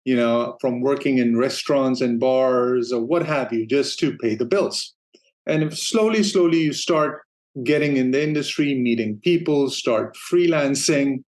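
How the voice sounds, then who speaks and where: Man from Denmark, forties, interview guest; relaxed storyteller who laughs easily, middle-pitched voice. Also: unhurried. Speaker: guest, streaming operations manager. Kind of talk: casual interview talk.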